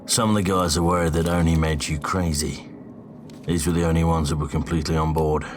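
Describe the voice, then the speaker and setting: A steady, serious soldier, acted by a man in a sci-fi audio drama. His voice is very deep and measured. Loud vehicle rumble surrounds him. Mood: wary. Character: gruff, steady male soldier, willing rebel.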